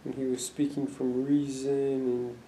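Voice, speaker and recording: A man's voice: medium pitch, flat delivery, unhurried, warm tone, a little hiss or hum. A man interviewing a guest with probing, sometimes provocative questions. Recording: echoey room.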